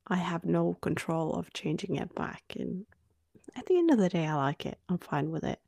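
Creaky, soft-spoken Norwegian woman in her twenties. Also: lowish voice, animated, moderate pace, no room sound, studio quality. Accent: Norwegian with Australian-English tinge. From Norway. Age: 20s. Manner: soft-spoken, drifting into hushed near-whispers. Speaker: podcast co-host. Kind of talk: candid conversational podcast chat.

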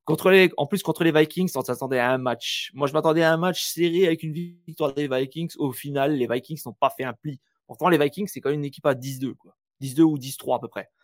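A man co-hosting a casual sports podcast, animated and teasing, firing off quick questions. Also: on the high side, dry recording, clean studio sound. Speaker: podcast co-host.

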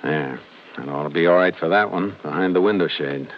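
An actor playing a calm, methodical Texas Ranger in a 1950s radio crime drama, speaking tersely and matter-of-factly. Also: male voice, low-pitched, brisk. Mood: quietly intent. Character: calm, methodical Texas Ranger lawman narrating his case. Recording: noisy, muffled 1950s radio recording.

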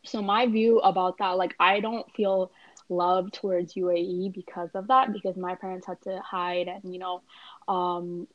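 A woman's voice: lowish voice, flat delivery, normal speed, dry recording. Young female student in casual chat, filler-heavy, recorded dull and dry. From Canada. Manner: chatty, frequent "like" and "you know" fillers. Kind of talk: casual conversation.

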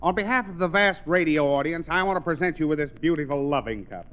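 An actor playing a brisk, formal male visitor in a 1930s radio comedy sketch. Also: fairly high pitch, natural voice. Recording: tinny 1930s radio recording, audience.

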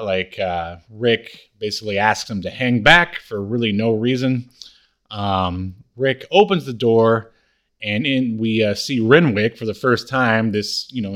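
A man's voice: lowish voice, a bit bright. A man co-hosting a podcast, casually recapping a show in a laid-back, hesitant way. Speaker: podcast co-host.

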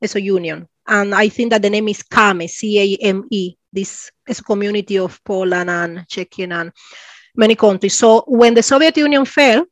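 A woman in her forties from Cuba, speaking English with a Cuban Spanish accent as an interview guest, often pausing for words and laughing. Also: mid-range voice, dry recording. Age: 40s. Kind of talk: interview conversation.

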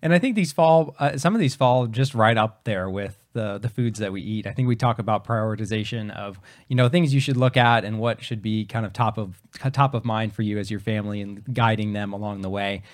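A man's voice: fairly low pitch, brisk, hardly any pauses. A man co-hosting a podcast in casual chat, explaining things step by step, his voice just recovering after he lost it. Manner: explanatory, many fillers and restarts. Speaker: podcast co-host.

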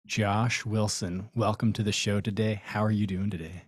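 Male podcast host, lowish voice; friendly, offhand delivery, studio setting. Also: steady tone, normal speed, no echo. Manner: friendly, loose, many fillers. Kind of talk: casual interview chat.

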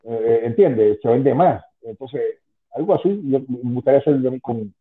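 A man's voice: medium pitch, lively intonation, normal speed. Man in his fifties on a tinny phone line. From Spanish-speaking, moved to the US at ten. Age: 50s. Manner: rambling, many restarts and "tú sabes". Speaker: guest, former wrestling manager. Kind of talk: casual interview on a call.

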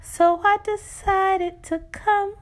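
A woman voicing her own wounded reflection, a young woman who speaks urgently and emphatically to her, slowly pleading with her to heal. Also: very high-pitched, little pitch movement, voice pushed up.

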